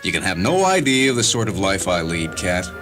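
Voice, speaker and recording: Male reader as an intense, self-dramatizing English stage actor in an audio story; low, very animated delivery, theatrical. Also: brisk, over music. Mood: intense, confessional. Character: obsessive, overdramatic English male stage performer. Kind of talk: dramatized short-story reading.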